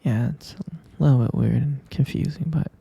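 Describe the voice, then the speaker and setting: A man playing a gentle, sleepy vampire boyfriend in an intimate ASMR bedtime roleplay, murmuring tenderly and hesitantly in a breathy, gravelly half-whisper. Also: mid-range voice, moderate pace, no room sound, heavily acted. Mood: patient, explaining.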